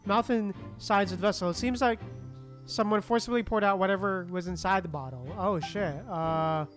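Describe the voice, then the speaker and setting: Woman gamer musing and reading text aloud, medium pitch, informal, faint music. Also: moderate pace. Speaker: game streamer. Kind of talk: casual playthrough commentary, reading game text aloud.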